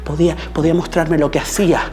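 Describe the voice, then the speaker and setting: A man's voice: mid-range voice, a little fast, faint music behind, lightly acted. A man with a Buenos Aires accent playing an insecure, intense artist in an improvised, theatrical monologue, repeating phrases for dramatic emphasis. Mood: impassioned. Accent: Buenos Aires Argentine.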